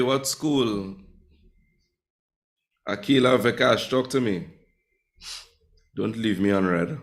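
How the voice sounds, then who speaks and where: A man's voice: on the high side, fairly bright tone. A man teaching a live online Spanish class, chatty and teasing with his students.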